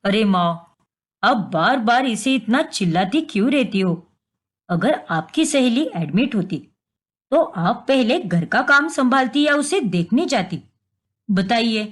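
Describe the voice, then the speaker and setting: A woman's voice: on the high side, animated, unhurried, pitched below the performer's own voice. A woman voicing an adult son in a story, an indignant, gullible and protective husband who speaks reproachfully. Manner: reproachful, defensive.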